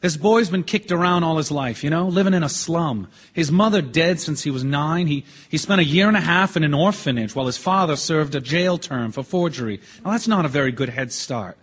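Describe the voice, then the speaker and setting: Husky, earnest dissenting juror. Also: male voice, fairly high pitch, lively intonation. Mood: earnest, reasoning. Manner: hesitant, earnest, measured. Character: thoughtful, quietly persistent dissenting male juror. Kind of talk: stage radio drama acting.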